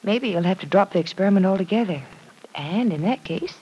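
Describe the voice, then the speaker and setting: An actress playing a sensible, mildly anxious woman of twenty-five in an old radio soap, measured and gentle, on a vintage recording. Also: mid-range voice, expressive, breathy edge, low-quality recording. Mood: worried. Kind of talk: 1940s-50s radio soap acting.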